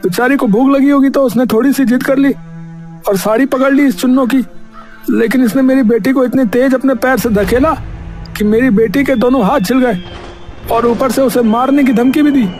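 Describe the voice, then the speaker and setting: A man's voice: very high-pitched, normal speed, darkish tone. A man playing an aggrieved village father in a Hindi cartoon story, complaining and pleading insistently. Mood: aggrieved, formal. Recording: music under the voice.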